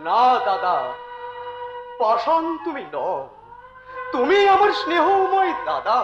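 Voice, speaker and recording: An actor playing a devoted, earnest younger brother in Bengali folk theatre, speaking in a declamatory, emotional way. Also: male voice, very high-pitched, sing-song, takes it slowly, exaggerated voice. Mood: reverent, fervent. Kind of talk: Bengali folk theatre (jatra) stage acting. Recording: echoey, dull stage recording with music.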